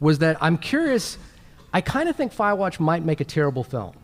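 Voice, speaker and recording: A man's voice: on the high side, very animated, fairly quick. A man chatting casually and jokingly as a panelist on a live podcast stage. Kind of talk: casual panel chat before a live audience. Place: large convention hall stage. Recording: echoey hall, live on stage.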